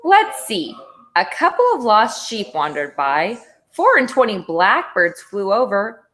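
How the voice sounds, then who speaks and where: Woman as a musing, animated talking fork. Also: medium pitch, measured pace. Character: helpful, thoughtful, worried talking fork, storybook.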